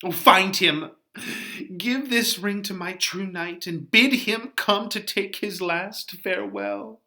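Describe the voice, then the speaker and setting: A man performing a distraught, passionate teenage bride in a melodramatic, drawn-out Shakespeare reading. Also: high voice, bright, forceful tone, pitched above the performer's own voice, heavily acted. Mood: pleading.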